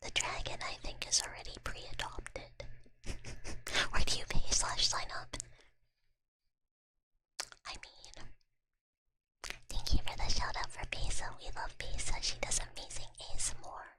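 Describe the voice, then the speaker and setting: A woman's voice: slow, pauses often. A woman speaking in a whisper, chatting casually with viewers on an ASMR-style livestream. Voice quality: whispery, mostly unvoiced. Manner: chatty, greets listeners by name.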